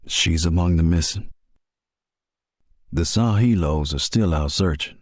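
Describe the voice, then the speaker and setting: Low, husky, blunt navy buddy, a man acting. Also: unhurried, no room sound, clean studio sound. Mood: grave. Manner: blunt, folksy phrasing. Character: blunt, loyal, folksy male navy pilot comrade. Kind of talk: dramatic animatic film dialogue.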